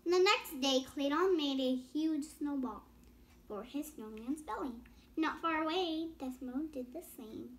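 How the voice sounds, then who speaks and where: A woman's voice: high voice, expressive, unhurried. A young girl reading a bedtime story aloud with casual explanatory asides, stumbling over words and rereading phrases. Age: child.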